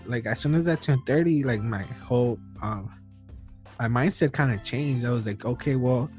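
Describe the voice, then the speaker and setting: A man's voice: mid-range voice, normal speed, darkish tone, faint music behind. A man in his early thirties hosting a podcast, talking casually and rambling with lots of fillers and restarts. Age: 30s. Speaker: podcast host. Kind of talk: casual chat.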